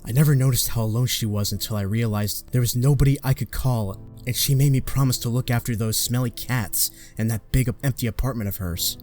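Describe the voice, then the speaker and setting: A man's voice: medium pitch, fairly quick, few pauses, darkish tone, natural voice. A man playing an earnest, guilt-ridden young superhero in a comic-book fan dub, dramatic, with music behind. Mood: reflective.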